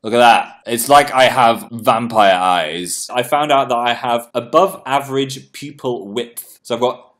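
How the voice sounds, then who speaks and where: A man's voice: medium pitch, unhurried, few pauses, fairly bright tone. Young man, bubbly, giggly, casual livestream chat, bedroom, webcam mic. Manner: bubbly, giggly, self-mocking. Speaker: livestream host. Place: home bedroom.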